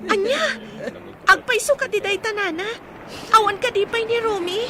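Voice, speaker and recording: A woman's voice: very high-pitched, moderate pace. A young woman with an Ilocano accent playing an earnest village woman searching for news. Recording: clear crowd noise behind.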